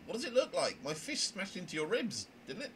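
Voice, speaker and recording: A man's voice: sits high, huge pitch swings, fairly quick, a bit bright, heavily acted. Man acting out a flustered, indignant young trainee, noisy recording. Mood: indignant, worked up. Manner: earnest, flustered, stammering with indignation. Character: anxious, indignant, rule-bound young trainee mediator, Winter Harrison. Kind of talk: amateur voice acting of a visual novel.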